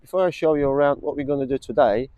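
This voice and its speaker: A man's voice: mid-range voice, fast, warm tone, a little hiss or hum, poor audio. A man from Poland with a Polish accent, speaking English as a second language, giving a plain step-by-step walk-around of a truck in a repair workshop. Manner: plain, explains step by step to viewers. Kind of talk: casual walk-around vlog.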